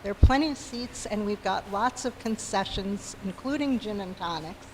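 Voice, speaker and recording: A woman's voice: medium pitch, moderate pace. A woman giving an informal live announcement to an audience as a member of a community group. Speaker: arts group member addressing livestream audience. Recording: noisy live venue audio.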